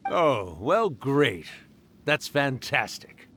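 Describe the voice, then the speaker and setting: An ancient male spirit from an anime-style game, a genial old storyteller. His mid-pitched delivery is lively and theatrical, full of wry teasing, over faint background noise. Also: very slow, exaggerated voice. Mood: warm, wry.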